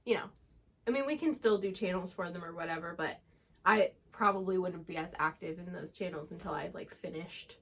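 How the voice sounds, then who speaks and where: A woman's voice: on the low side, fairly quick, a bit bright. A woman co-hosting a book chat, explaining things conversationally with plenty of filler "like". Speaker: book channel co-host.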